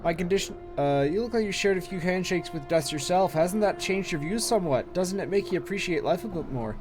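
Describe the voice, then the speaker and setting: A man streaming a game, reading its dialogue aloud with casual asides, his voice a little hoarse from long talking. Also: sits high, lively intonation, fairly quick, over music. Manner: reads long text passages aloud with brief asides.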